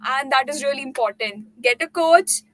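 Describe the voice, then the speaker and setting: A woman hosting a casual chat, eager and quick to agree with her guest. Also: sits high, a bit bright, no room sound, rough recording. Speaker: host.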